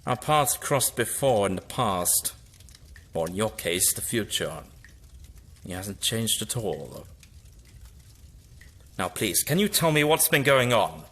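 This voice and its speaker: Man playing a wry, animated low-voiced time traveller. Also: full character voice. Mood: earnest. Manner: halting, musing, with wry asides. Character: wary, inquisitive time-travelling Doctor, indignant and dryly ironic. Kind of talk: audio drama acting.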